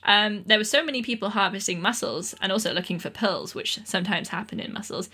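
A woman's voice: medium pitch, fairly quick, bright, forceful tone, slightly rough. A woman co-hosting a podcast, telling a story in a chatty, playful, self-deprecating way. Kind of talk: casual comedic storytelling.

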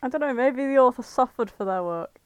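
A woman's voice: high voice, lively intonation, a little fast. A woman co-hosting a podcast, chatting casually in a blunt, sweary, teasing way. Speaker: podcast co-host. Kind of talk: casual chat.

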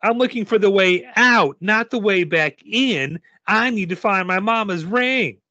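A man's voice: high voice, a little slow, bright, forceful tone, no room sound, lo-fi sound, pitched above the performer's own voice. A man voicing a sulky, secretive nine-year-old girl in a tabletop game, sassy and pleading. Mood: sassy, insistent.

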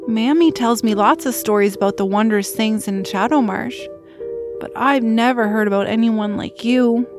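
A woman's voice: a little high, moderate pace, lightly acted. A woman playing a curious, innocent little troll girl, childlike and tender, in a family audio drama. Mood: sympathetic. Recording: music behind the voice.

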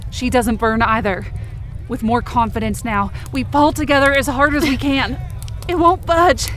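A woman acts out a determined, desperate young female rescuer in a supernatural fiction podcast. Her voice sits somewhat high. She narrates in the present tense with intense, emotive urgency. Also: lightly acted. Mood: tense, grieving. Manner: intense, emotive, swearing under strain. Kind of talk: dramatic first-person present-tense audio drama narration.

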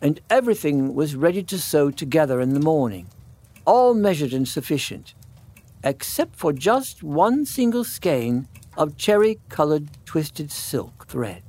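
A man narrating a classic children's story in measured phrases with dramatic pauses. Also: on the high side, sing-song, darkish tone.

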